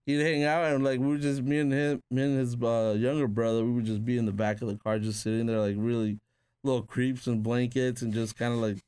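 A man's voice: mid-range voice, level tone, a little fast, a little mellow, smooth, no room sound. A man born in Peru, a tattoo artist guest, casually telling rambling stories full of "like" and restarts. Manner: rambling, filler-heavy with frequent "like". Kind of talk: casual conversational storytelling.

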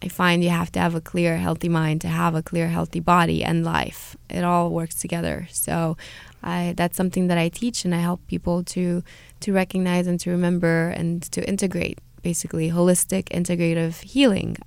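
Accented woman guest, smooth and lowish. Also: monotone, normal speed, no echo. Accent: Hungarian Romanian. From Hungary and Romania. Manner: earnest, encouraging, with small repeats. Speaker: guest, holistic healer and author. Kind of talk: radio interview.

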